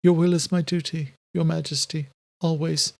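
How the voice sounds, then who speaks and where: Man voicing a devoted, high-voiced young vampire woman. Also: little pitch movement, moderate pace, vocal fry, a little mellow, dry recording, clean studio sound. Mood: dutiful, pleading. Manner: formal, deferential. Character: devoted, dutiful young female vampire caretaker. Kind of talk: dramatic audiobook character voice.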